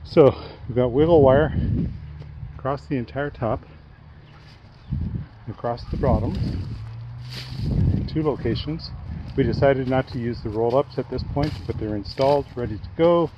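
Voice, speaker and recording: A man's voice: medium pitch, unhurried, pauses often. An older man casually narrating a walkthrough of his homestead project, outdoors, talking into a handheld camera with plenty of ums and uhs. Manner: relaxed, hesitant with many fillers. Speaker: homesteading vlogger. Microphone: handheld camera at arm's length. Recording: dull lo-fi outdoor sound with clear wind noise.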